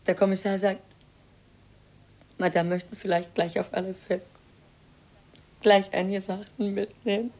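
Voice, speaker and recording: An actress playing a formal, deferential household maid in a 1950s German radio drama. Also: female voice, mid-range voice, flat delivery, moderate pace, pauses often, a little mellow, close to an everyday voice. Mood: deferential, uneasy. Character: deferential female household servant announcing visitors, formal.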